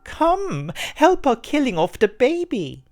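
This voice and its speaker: A man's voice: extremely high pitch, wildly swooping pitch, takes it slowly, a little mellow, faint music behind, voice pushed up, exaggerated voice. A man voicing a possessed young woman, eerily gleeful and urging violence in a horror story. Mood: sinister coaxing.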